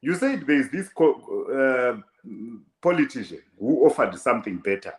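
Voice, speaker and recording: A man with a Zimbabwean accent hosts a live interview, pushing his guest with probing, showy questions. His voice sits at a middle pitch and rings in a noticeably echoey room. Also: sing-song, a little slow. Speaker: talk show host.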